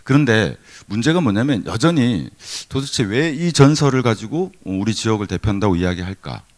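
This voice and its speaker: Lively middle-aged man lecturing. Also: fairly low pitch, normal speed. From Gyeongsang region, Korea. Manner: lively, conversational, many fillers and restarts. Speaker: professor giving a keynote lecture. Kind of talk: academic seminar lecture. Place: conference hall.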